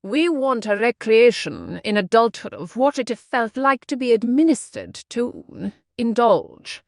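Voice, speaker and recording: A woman narrates a scripted passage at a medium pitch. Her intonation moves up and down expressively, with a clear fry in the voice, and the recording is dry. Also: big pitch swings, a little slow, no echo. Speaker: narrator of read-aloud practice passages. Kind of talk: scripted read-aloud narration.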